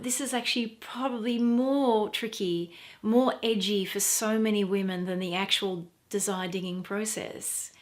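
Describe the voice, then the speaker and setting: A middle-aged woman with a quiet, soft voice, talking earnestly to camera as a teacher, wearing a clip-on mic. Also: medium pitch. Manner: earnest, emphatic, repeats for stress. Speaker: feminine empowerment teacher. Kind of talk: direct-to-camera talk.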